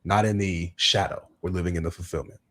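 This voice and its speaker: Livestream host, male, lowish voice, informal faith talk, emphatic and repetitive for emphasis. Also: fairly even intonation, brisk, a bit bright. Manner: emphatic, repeats phrases for emphasis. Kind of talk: informal religious discussion.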